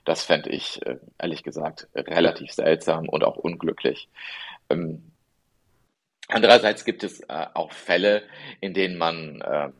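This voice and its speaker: A man being interviewed as a family lawyer on a podcast, speaking in a measured, earnest way with frequent ums and ahs. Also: low voice, fairly even intonation, creaky. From born in Italy, raised in the Rhineland.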